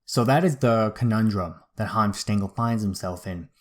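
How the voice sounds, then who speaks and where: A man's voice: fairly low pitch, normal speed. A man hosting a history show, retelling events in an informal, slangy, conversational way. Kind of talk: casual conversational history storytelling.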